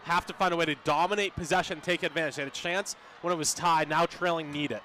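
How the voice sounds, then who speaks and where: A man's voice: fairly high pitch, steady tone, quick pace, pushed and bright, slight background noise. A man giving live sports radio analysis as color commentator, in short clipped phrases.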